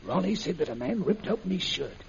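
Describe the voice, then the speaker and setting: A man's voice: sits high, expressive, brisk, vocal fry, a little mellow. An actor playing an imprisoned middle-aged father, anxious and emphatic, in the style of a 1940s radio serial. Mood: worried. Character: imprisoned British man, anxious father, eager conspirator. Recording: muffled, noisy old radio recording.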